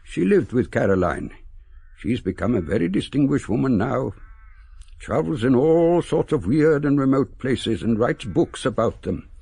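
Wistful, gravelly old English gentleman. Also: mid-range voice, big pitch swings, no room sound, exaggerated voice. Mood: thoughtful. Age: older. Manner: theatrical, wistful, deliberate phrasing. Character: sentimental, eloquent old English gentleman, mournfully reminiscing. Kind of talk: radio drama acting.

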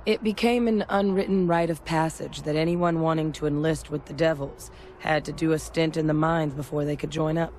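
A woman playing a candid, measured military veteran in a video game, speaking deliberately. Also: deep voice, fairly even intonation, a little mellow, slight background noise, close to an everyday voice. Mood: reflective. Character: measured, candid female soldier-scientist, war veteran.

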